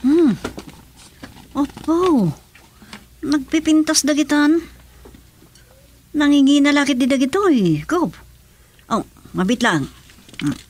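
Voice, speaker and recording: An actress playing an inquisitive, expressive woman in a radio serial drama. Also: sits high, slow, long pauses. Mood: impressed.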